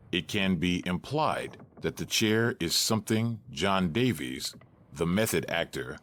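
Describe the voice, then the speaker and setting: Deep-voiced, slow male narrator. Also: a little hiss or hum. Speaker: narrator reading stage directions. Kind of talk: scripted narration.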